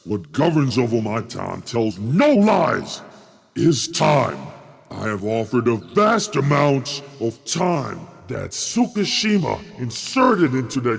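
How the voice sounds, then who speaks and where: Pompous, creaky-voiced giant zealot. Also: male voice, heavily acted. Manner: grandiose, formal, booming when worked up. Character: pompous, fanatical giant muscle-bound man with monstrous body. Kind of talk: anime fan dub acting.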